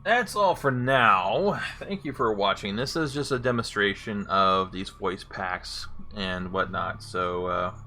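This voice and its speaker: A man's voice: lowish voice, takes it slowly, hardly any pauses, pushed and bright, slight background noise. A man giving casual gameplay commentary as a streamer, in a hesitant, rambling manner. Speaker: streamer demonstrating game voice packs.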